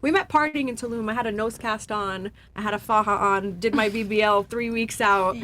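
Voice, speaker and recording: A woman's voice: medium pitch, a little fast, sharp tone, a little hiss or hum. Brash, swearing woman in her forties. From New York. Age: 40s. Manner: brash, boastful, swears casually. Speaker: podcast guest. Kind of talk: casual panel chat.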